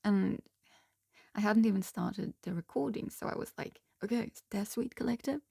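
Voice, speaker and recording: A woman with a hoarse voice doing a casual tarot reading, talking slowly and haltingly with frequent pauses and restarts. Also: mid-range voice, no echo, studio quality. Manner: slow, halting, soft-spoken with frequent ums and restarts. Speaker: tarot reader.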